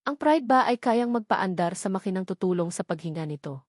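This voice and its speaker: Woman narrator telling a dramatic love story, mid pitch, really dry recording. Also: animated, a little fast, no room sound.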